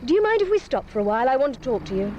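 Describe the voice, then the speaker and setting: A woman's voice: high voice, big pitch swings, racing, highs cut off, background noise. An actress playing a determined, practical young woman in a radio drama, speaking earnestly and persuasively. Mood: persuasive, urgent.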